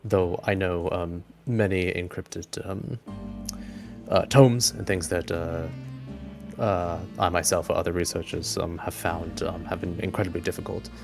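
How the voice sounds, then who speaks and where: A man voicing an absorbed, hesitant bugbear anthropologist with a polite, scholarly, halting manner in a tabletop game. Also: low-pitched, fairly even intonation, creaky, over music. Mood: earnest, halting.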